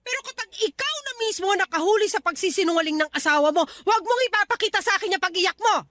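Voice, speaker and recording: Actress as blunt older mother, very high voice, scolding, insistent, radio drama. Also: expressive, fast, fairly bright tone, no room sound. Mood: stern, hurt. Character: blunt, nagging older mother warning her married daughter. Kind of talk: Tagalog radio drama acting.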